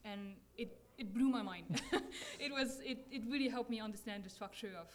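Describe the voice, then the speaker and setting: A young woman from France presenting her campus ministry work with slides, soft-spoken and pausing to search for words. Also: on the high side.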